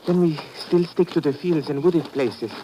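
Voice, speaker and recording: An actor playing a wary, grave village shopkeeper and guide with a Central European accent and formal, deliberate phrasing, in old radio drama style. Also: male voice, a little high, natural voice. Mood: hushed urgency. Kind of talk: 1950s radio adventure acting. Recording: noisy vintage radio recording.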